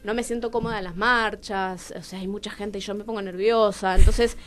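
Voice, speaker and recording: A woman's voice: mid-range voice, fairly even intonation, a little fast. A woman with a Rioplatense Argentine accent speaking candidly as a guest playwright in a radio interview, questioning herself aloud and acting out bits of dialogue. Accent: Rioplatense Argentine Spanish. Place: radio studio.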